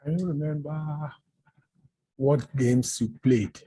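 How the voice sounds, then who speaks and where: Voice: medium pitch, slow, dark tone, husky. An interviewer asking short, plain questions over a video call.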